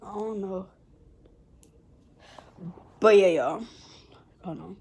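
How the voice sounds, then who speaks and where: A young woman vlogger who stutters, talking haltingly to her viewers with many pauses and restarts, calling them y'all. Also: medium pitch, a little mellow, husky. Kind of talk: personal vlog, talking to viewers.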